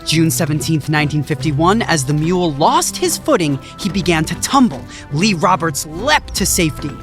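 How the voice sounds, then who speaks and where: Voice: medium pitch, animated, moderate pace, music in the background, close to an everyday voice. An actor playing an eager hiker who recites grim trail history with dramatic relish, in an audio drama. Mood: gleefully ominous.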